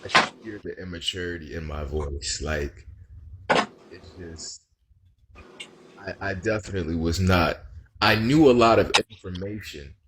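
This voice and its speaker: A man's voice: deep voice, unhurried, long pauses, background noise. A young man co-hosting a podcast, talking in a loose, reflective and slangy way with many restarts. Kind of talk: casual chat.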